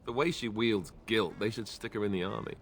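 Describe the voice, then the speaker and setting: A man's voice: lowish voice, brisk, creaky. An actor playing a wry, flippant warrior who respects his commander, in a video game.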